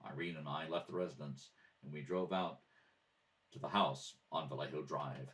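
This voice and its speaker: A man reading scripted police procedural narration in a clipped, matter-of-fact, deadpan way. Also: a bass voice, normal speed, slight background noise. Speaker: amateur radio theatre reader. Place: at a kitchen table. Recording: slightly distant home microphone.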